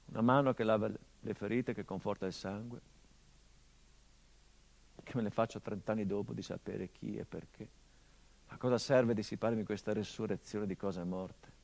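A man's voice: fairly low pitch, gravelly, warm tone. Brooding man in a monologue. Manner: intimate and brooding, swelling into anguished outbursts. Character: brooding middle-aged son haunted by his father's murder. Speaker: stage actor. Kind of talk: theatrical radio monologue.

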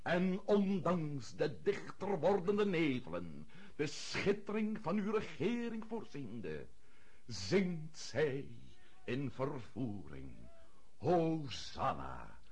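An actor playing a pompous, self-important aristocratic prince in a radio play, husky and given to declamation. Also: male voice, a little high, wildly swooping pitch, takes it slowly, darkish tone, heavily acted. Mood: solemn, declamatory. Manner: declamatory, blustering.

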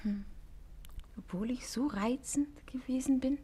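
High-voiced, vulnerable young lover. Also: female voice, a little slow, dark tone, husky. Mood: hurt, proud. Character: young woman in love, vulnerable, hurt, proud. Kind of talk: classic German radio play acting.

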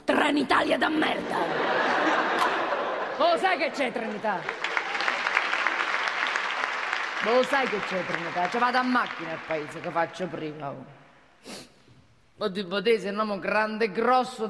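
An actor playing a gruff, earthy night guard with a Roman accent in a rambling comic stage monologue. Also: male voice, high-pitched, expressive, moderate pace, over a noisy crowd. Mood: indignant. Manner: colloquial, rambling, rhetorical questions. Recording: echoey hall, noisy stage recording.

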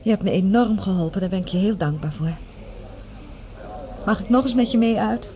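An actress playing an earnest, vulnerable scientist on a Mars project in a Dutch science fiction radio drama. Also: female voice, mid-range voice, fairly quick, mellow, highs cut off, slight background noise, natural voice. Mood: tender, grateful.

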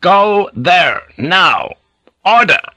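A man voicing a commanding foreign seafarer in an audiobook, speaking in broken, halting phrases and clipped orders. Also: medium pitch, very animated, sharp tone, slightly rough, no echo, heavily acted.

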